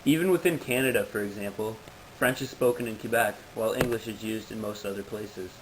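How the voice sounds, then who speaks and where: A man's voice: lowish voice, a little fast. Man giving a formal presentation, noisy recording. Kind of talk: prepared informational presentation, read out.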